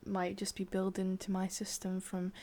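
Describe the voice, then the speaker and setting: A woman with an English accent voicing a sadistic, lovesick witch in a whispered, intimate ASMR roleplay, murmuring slowly and haltingly. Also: medium pitch, completely flat delivery, a little mellow, natural voice. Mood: casual, musing. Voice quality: breathy, whispery. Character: sadistic, lovesick young witch, wistful and possessive.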